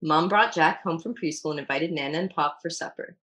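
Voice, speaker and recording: A woman's voice: low-pitched, fairly quick, a bit bright. A woman hosting a storytime, reading a children's picture book aloud expressively. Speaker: storytime reader and host.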